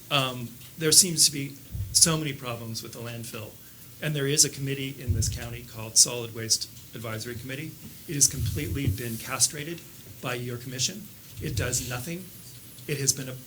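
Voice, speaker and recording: A man giving pointed, critical public comment at a county meeting. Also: mid-range voice. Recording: noisy public meeting recording.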